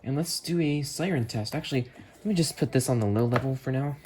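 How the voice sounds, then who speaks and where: A man's voice: mid-range voice, a little fast, dark tone, a little hiss or hum. A man hosting an unboxing video, talking casually as he thinks aloud while working the controls, recorded in a room at home. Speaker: host. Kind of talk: casual product demo narration.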